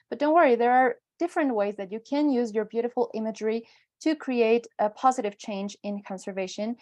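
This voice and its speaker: A woman's voice: fairly high pitch, a little mellow. A woman with a Mexican accent giving an informal presentation in a conversational, explanatory way. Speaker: guest speaker, wildlife photographer and educator.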